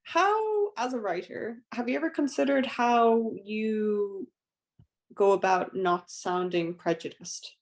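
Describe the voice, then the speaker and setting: A woman's voice: on the high side, takes it slowly. A woman putting questions in a conversational question-and-answer discussion.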